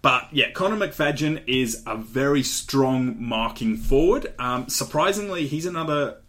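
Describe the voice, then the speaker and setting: Young Australian male podcast host, average pitch, casual chatty delivery with fillers. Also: unhurried, fairly bright tone. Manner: chatty, laughs often, many fillers. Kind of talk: casual chat, draft analysis.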